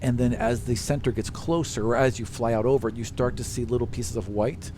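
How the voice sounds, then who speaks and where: A man's voice: medium pitch, steady tone, fairly quick, a little mellow, a little hiss or hum. A man working as game master, narrating a tabletop game in a casual, conversational way. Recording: prominent music behind the voice.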